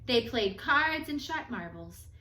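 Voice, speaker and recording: A woman reading a children's picture book aloud in an expressive, measured storytelling manner, with phrases that trail off softer. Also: fairly high pitch, slight background noise. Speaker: storybook reader. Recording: echoey room.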